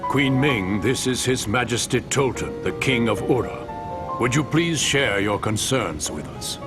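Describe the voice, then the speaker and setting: An actor playing a composed, courteous king in a fantasy game cutscene, speaking in a formal, measured, authoritative way. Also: medium pitch, lively intonation, over music, lightly acted. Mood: diplomatic. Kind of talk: scripted video game cutscene acting.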